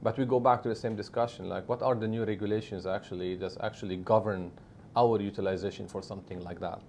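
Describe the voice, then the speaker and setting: Gravelly middle-aged man, emphatic. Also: on the low side, fairly even intonation, fast, darkish tone, slight background noise. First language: Arabic. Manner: emphatic, keeps adding "right?" tags. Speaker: media executive guest. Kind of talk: conversational interview answers.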